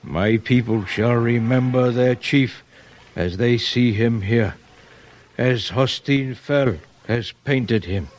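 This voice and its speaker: A man plays an old Apache chief in a vintage radio western. He speaks slowly and formally, in a mid-pitched voice, grave and full of dignity. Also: natural voice. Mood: solemn pride. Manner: formal, measured, stately phrasing. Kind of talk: 1950s radio western acting.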